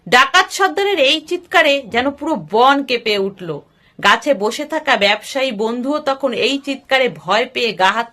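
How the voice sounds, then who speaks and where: High, bright woman storyteller. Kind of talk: scripted story narration.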